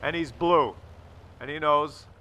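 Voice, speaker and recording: Man, slightly high pitch, bright sharp tone, casual gameplay commentary, rambling, mildly sardonic. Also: slow pace, slight background noise. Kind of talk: casual video game commentary.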